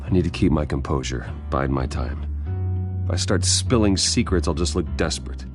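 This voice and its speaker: A man's voice: a bass voice, lively intonation, normal speed. An actor playing a composed, guarded American businessman who is protective of his friends, in a video game drama. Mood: guarded, measured. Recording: music behind the voice. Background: music.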